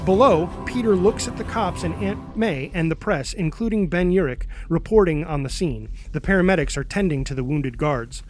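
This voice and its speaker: High-voiced male action narrator over music. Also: normal speed, few pauses. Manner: punchy, present-tense delivery with sound-effect words. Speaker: narrator reading stage directions. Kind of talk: dramatic scripted narration of superhero action.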